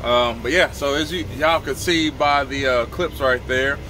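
A man in his thirties talks casually to camera from inside his truck's sleeper cab. He is laid-back and chatty, mid-pitched, and drops a friendly "y'all" often. A steady hum runs clearly underneath him. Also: a little slow. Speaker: truck driver vlogger. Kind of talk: casual vlog talk to camera.

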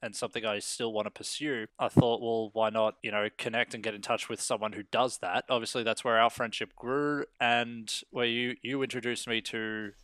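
Clear-voiced man in casual, rambling chat. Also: mid-range voice, steady tone, moderate pace, hardly any pauses, fairly bright tone, no room sound. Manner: self-deprecating, joking, rambling. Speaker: interview guest, amateur voice actor.

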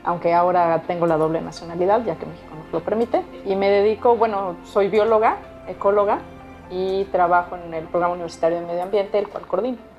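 A woman from Mexico speaks in a mellow, composed way. The recording sounds a little dull, with soft music behind. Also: fairly low pitch, steady tone, fairly quick. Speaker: biologist, interviewee. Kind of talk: documentary interview.

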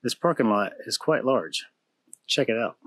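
A man's voice: lowish voice, normal speed, breathy edge. A man in his thirties, a travel vlogger, chatting casually about a road trip with fillers and restarts. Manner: relaxed storytelling with fillers and restarts. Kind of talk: casual chat. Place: RV interior, then outdoors at a campground.

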